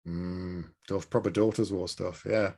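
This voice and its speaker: A man's voice: deep voice, fairly even intonation, a little slow, warm tone, husky. A man from Newcastle hosting an interview, chatting agreeably with his guest over a video call. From Newcastle, England. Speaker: interview host. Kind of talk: casual interview conversation.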